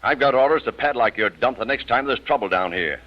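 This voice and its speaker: A man's voice: mid-range voice, animated, a little fast, lightly acted. A man acting a brusque police captain, muffled radio. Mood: pressing, shrewd. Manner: brusque, commanding, sardonic. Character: tough, gruff, sardonic male police captain. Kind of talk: old-time radio crime drama acting. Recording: muffled vintage radio recording.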